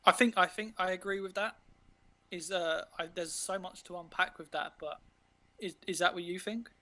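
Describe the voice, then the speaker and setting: Bright, even-toned man rambling casually. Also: sits high, normal speed. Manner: rambling, informal, searching for words. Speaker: interviewed author of an audio drama. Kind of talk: casual reflective conversation.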